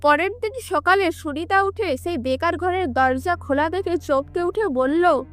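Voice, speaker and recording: A female storyteller narrates a cartoon tale from a script. Her voice is extremely high, with faint music playing behind it. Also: unhurried.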